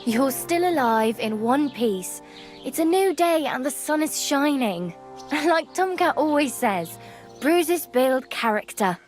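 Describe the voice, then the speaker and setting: Young medieval beggar girl in a game, highish voice, wry and folksy, teasing, music behind. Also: animated, slow pace, darkish tone. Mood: cheerful. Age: young adult. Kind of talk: video game dialogue acting. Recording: background music under the dialogue.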